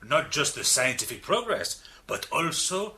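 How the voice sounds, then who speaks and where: An actor playing a big, expansive, reformed French criminal in a radio drama, with a French accent and an effusive, theatrical manner. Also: male voice, a little high, big pitch swings, unhurried, creaky, sharp tone, full character voice. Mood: chatty, animated. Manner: effusive, theatrical, with hesitant asides.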